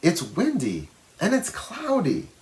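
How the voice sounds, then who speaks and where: A man voicing a caring, gently questioning mother in a children's storybook reading. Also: high voice, huge pitch swings, slow pace, pitched above the performer's own voice, heavily acted. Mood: puzzled.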